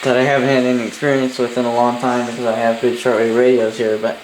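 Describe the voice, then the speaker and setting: A man's voice: medium pitch, flat delivery, fast talker. A man chatting casually as he reviews radio gear, thinking aloud as he handles it. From Canada. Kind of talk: casual product review chat. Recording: roomy, echoey, noisy radio static behind.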